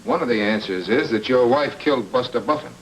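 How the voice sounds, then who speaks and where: A man playing a wisecracking, deadpan private detective in a film noir radio drama. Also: medium pitch, a little fast, close to an everyday voice. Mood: urgent, sure. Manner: deadpan, sardonic. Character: wisecracking hard-boiled male private detective. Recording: noisy vintage radio recording.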